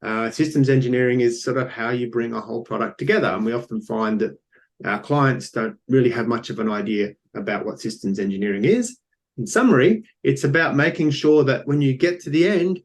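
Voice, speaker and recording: Older aussie guy pitching his company, lots of ums, restarts, plain mid voice. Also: brisk. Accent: Australian. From Australia. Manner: explanatory, loose and unscripted with many ums and restarts. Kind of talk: business pitch presentation.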